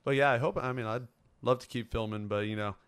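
A man in his twenties hosts a casual talk show with a smooth, clear voice. He tells stories in an animated, jokey way, and the sound is close and dry. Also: mid-range voice, fast, dry recording. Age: 20s. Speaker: host of a fan sports show. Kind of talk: casual chat.

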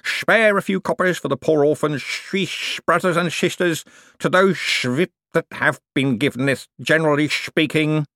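A man's voice: fairly high pitch, measured pace, fairly bright tone, no echo, clean studio sound, voice pushed up. A man voicing an old con man posing as a repentant preacher, solemn and drawn out, with mushy false-toothed speech. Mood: preachy.

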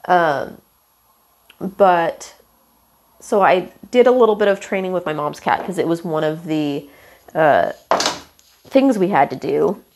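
Slow, congested woman with a gravelly voice. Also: fairly low pitch, a little mellow, slight background noise. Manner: meandering, many fillers and apologies, casual swearing. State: congested and hoarse from illness. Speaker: podcast host. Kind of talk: rambling casual monologue. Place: walking around her house, kitchen. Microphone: headphone mic into phone.

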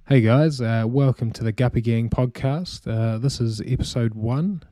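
Laid-back New Zealand man, mellow voice. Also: medium pitch, measured pace, dry recording. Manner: relaxed, many "um" fillers, easy laughter. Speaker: podcast co-host. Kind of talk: casual podcast chat.

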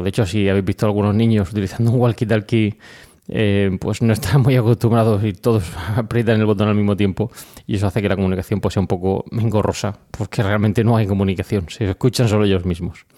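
Podcast host, male, Spain accent. Lowish, soft-edged mellow voice, conversational and hesitant, explaining informally. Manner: conversational, hesitant with frequent filler words. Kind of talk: casual explanatory podcast monologue.

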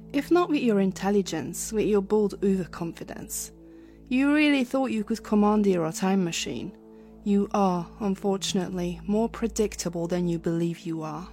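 A woman playing a smug, calculating villain in a sci-fi audio drama, deliberate and condescending. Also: medium pitch, animated, warm tone, soft background music, natural voice. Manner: deliberate, condescending, coolly menacing. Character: smug, calculating female villain of a time-travel agency.

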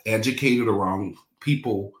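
A man from the Bahamas, a guest coach on a podcast interview, talking in a warm, folksy way. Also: on the low side, measured pace, echoey room. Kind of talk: casual interview chat.

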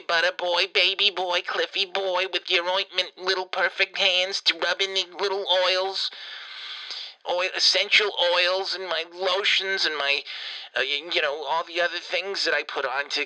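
A vain, bossy elderly grandmother, put on by a man rambles through a long phone message, tripping over restarts and swearing when she gets worked up. The voice sits a bit high and rings very bright and forceful. It has a radio-like sound. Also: rough recording. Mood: self-pitying. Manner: rambling, stammering restarts, exasperated cursing. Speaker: comedian doing a character voicemail. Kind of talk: improvised comedic phone message.

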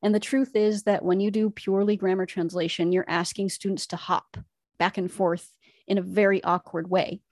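A woman's voice: medium pitch, little pitch movement, moderate pace, a little mellow, no room sound, studio quality. A woman co-hosting an educational podcast, earnestly laying out her case point by point. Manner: earnest, explanatory, building arguments point by point. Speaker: podcast co-host, Latin teacher. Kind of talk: enthusiastic educational podcast discussion.

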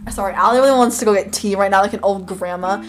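A young woman co-hosting a podcast, excitedly retelling a story with lots of "like" and laughter. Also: mid-range voice, quick pace, faint music behind. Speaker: podcast co-host. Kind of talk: casual chat.

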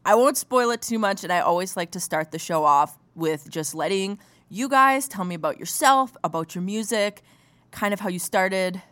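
A woman hosting a music podcast, bubbly and gushing with excitement as she interviews a band. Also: mid-range voice, moderate pace. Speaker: podcast host. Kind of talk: enthusiastic interview chat.

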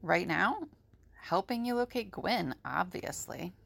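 A woman's voice: lowish voice, lively intonation, slow pace, creaky, fairly bright tone, dry recording, studio quality, exaggerated voice. A woman playing an amused, self-assured artist with a wry, casual manner in an audio drama.

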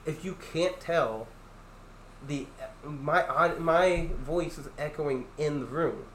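A young man with a loud, deep voice that carries, chatting casually on a podcast at home and rambling through restarts. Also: takes it slowly. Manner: rambling, many restarts and fillers. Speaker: podcast host. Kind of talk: casual chat. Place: echoey room at home. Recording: echoey room, faint ambient noise.

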